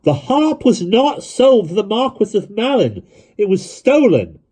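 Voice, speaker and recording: A desperate teenage boy pleading with a crowd that will not listen. He sounds high and strained, with wide leaps in pitch, and the sound stays slightly husky. The whole thing is a storybook reading. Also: big pitch swings, normal speed, darkish tone, exaggerated voice. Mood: shouting.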